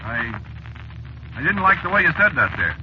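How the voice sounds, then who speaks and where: An actor playing a talkative, uneasy American cab driver with slangy, ungrammatical speech in an old radio drama. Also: male voice, fairly high pitch, big pitch swings, moderate pace. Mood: agitated. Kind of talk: old-time radio drama acting, first-person narration and dialogue. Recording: muffled, noisy vintage radio recording.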